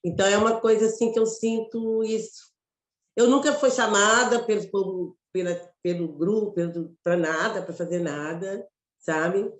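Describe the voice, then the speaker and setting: A woman from Mato Grosso with a Mato Grosso Brazilian accent, an actress telling her story in an interview, reflective and halting, often restarting phrases. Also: mid-range voice, fairly even intonation, echoey room. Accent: Mato Grosso Brazilian Portuguese. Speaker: actress and guest.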